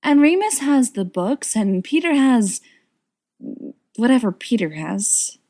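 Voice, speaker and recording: Gentle young woman, played by a female reader, higher-pitched, teasing, hesitant, audiobook dialogue. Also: big pitch swings, slow pace, heavily acted. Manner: gentle, trailing off lamely.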